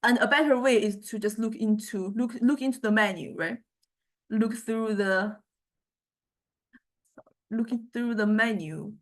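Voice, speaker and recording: A woman with a Chinese accent and a fairly high-pitched voice teaches an informal software class. She speaks haltingly, repeating words as she goes and often adding small checking tags. She talks into a small condenser microphone. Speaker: university lecturer in music technology. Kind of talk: informal software tutorial lecture.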